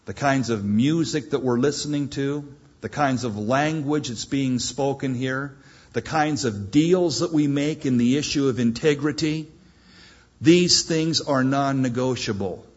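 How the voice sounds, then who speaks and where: Husky-voiced man preaching emphatically. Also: mid-range voice, unhurried, highs cut off. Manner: emphatic, repetitive exhortation. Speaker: pastor. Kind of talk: sermon. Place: church.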